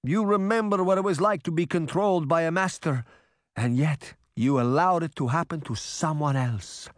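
A man playing a stern, indignant old father in a storybook, scolding forcefully. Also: a little high, normal speed, no echo, studio quality, voice pushed up, natural voice. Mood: outraged.

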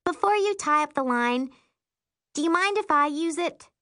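A woman's voice: high-pitched, animated, moderate pace, fairly bright tone, pitched above the performer's own voice. A woman reading a storybook as a shy, uncertain girl, the narrator's younger sister. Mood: polite.

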